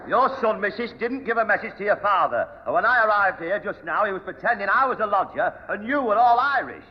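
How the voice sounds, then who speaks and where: High-pitched irascible northern neighbour. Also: male voice, animated, a little fast. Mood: indignant. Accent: northern English. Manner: blustering, exasperated. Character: irascible working-class darts-team neighbour, grown man. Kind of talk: 1970 radio sitcom acting. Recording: muffled, hissy old radio hall recording.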